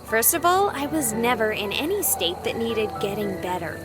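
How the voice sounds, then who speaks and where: A woman's voice: fairly high pitch, big pitch swings, moderate pace. A woman voicing a candid, wry young woman in a visual novel, conversational and a little guarded. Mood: candid, reflective. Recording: music and night insects behind. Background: music, crickets and frogs.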